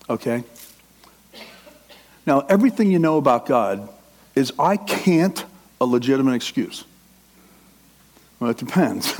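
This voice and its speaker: A man's voice: medium pitch, darkish tone. A middle-aged man preaching a sermon with passion, acting out the inner voice of a struggling believer. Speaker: pastor.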